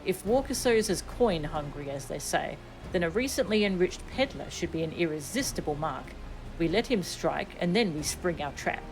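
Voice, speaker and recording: A woman reads as a resolute young nobleman in a fantasy game. The delivery is measured and formal, with a commanding edge, over soft game music. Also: on the low side, animated, rough recording.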